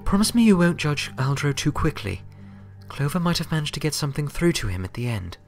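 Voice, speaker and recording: Storybook young female unicorn played by a man: earnest, polite, raised pitch. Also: big pitch swings, a little fast, soft background music. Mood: pleading.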